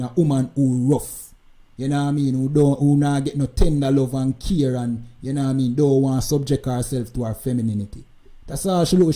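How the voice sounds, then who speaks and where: A Jamaican man with a very deep, mellow, dark tone delivers heated live-stream commentary. He presses his points hard and keeps circling back with "you understand?" and "you know what I mean." His pitch sits in an ordinary middle range.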